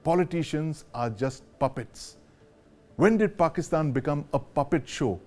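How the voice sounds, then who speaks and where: A man's voice: on the high side, expressive, moderate pace, darkish tone. Indian man hosting a news discussion. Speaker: host of a news discussion show. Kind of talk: broadcast interviewing.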